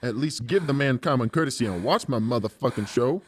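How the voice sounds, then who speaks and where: A man's voice: mid-range voice, lively intonation, fairly quick, husky. A man playing a large, theatrical, sadistic professional torturer in a dramatized audiobook. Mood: taunting glee. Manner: slangy, showy and taunting. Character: large, gleefully sadistic professional torturer who plays the comedian. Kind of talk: dramatized audiobook character acting.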